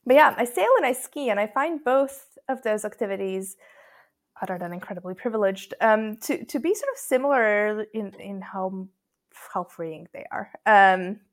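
Creaky-voiced woman from Croatia. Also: mid-range voice, moderate pace. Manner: thoughtful, with many restarts and ums, laughs easily. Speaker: podcast guest. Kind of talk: casual chat.

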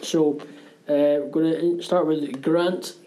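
A man's voice: a little high, fairly even intonation, takes it slowly, mellow, a little hiss or hum, low-quality recording. A man, interviewing a band in a casual, chatty way. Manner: chatty, laughs often.

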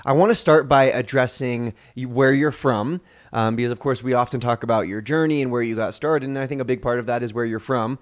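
A male podcast host with a smooth, resonant voice and middle pitch. He talks in a friendly, rambling way, full of fillers and little restarts. It sounds like a relaxed interview chat. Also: brisk, few pauses.

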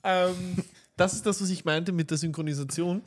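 A man with a somewhat high voice talks loosely about a film in relaxed podcast chat. He rambles, breaks off and restarts his sentences, and fills gaps with hesitations. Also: moderate pace. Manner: rambling, many fillers and restarts. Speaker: podcast co-host. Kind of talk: casual film review chat.

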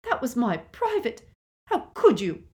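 A woman voicing a bitter, scornful older sister in an audiobook, with a sneering, forceful delivery. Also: fairly high pitch, huge pitch swings, unhurried, pitched above the performer's own voice. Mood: indignant.

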